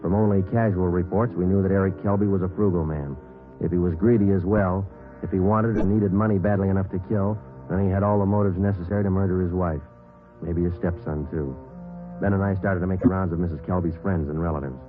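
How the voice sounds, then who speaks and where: Deep, fast, deadpan detective sergeant, played by an actor. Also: male voice, close to an everyday voice. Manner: deadpan, clipped, matter-of-fact. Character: terse, businesslike male police detective sergeant narrating cases. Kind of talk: 1950s radio crime drama narration. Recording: muffled vintage radio recording, music behind.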